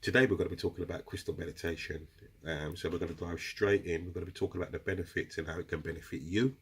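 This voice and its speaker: A man's voice: deep voice, steady tone, fast talker, fairly bright tone, slightly rough. A man with a cold and a runny nose, chatting to viewers as he explains a meditation practice in a casual teaching style. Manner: chatty, addresses viewers as guys. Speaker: crystal seller explaining meditation. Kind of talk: casual instructional video talk.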